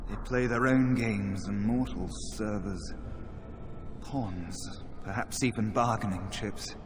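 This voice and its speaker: An actor playing a weary, despairing trapped mage in a video game, speaking in a halting, resigned, bitter manner. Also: male voice, on the low side. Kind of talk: dramatic video game dialogue. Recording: game audio with noisy ambience behind.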